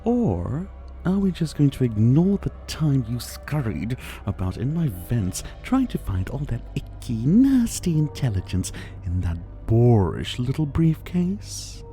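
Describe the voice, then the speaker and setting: A possessive yandere dictator, acted by a man, speaks close and intimate in an ASMR roleplay. His mellow voice swoops playfully and settles into a gravelly fry. He is doting and menacing at once, condescending and flirtatious. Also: medium pitch, very animated, unhurried, faint music behind. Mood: teasing menace. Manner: silky, condescending, flirtatious, doting with pet names. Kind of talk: ASMR roleplay, intimate dramatic acting addressed to the listener.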